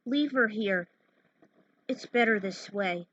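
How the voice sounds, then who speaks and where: A woman's voice: mid-range voice, takes it slowly, a bit bright, dry recording, highs cut off. A woman voicing a strong, resolute, grieving hero in a fan fiction story, firm and terse. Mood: somber, resolved.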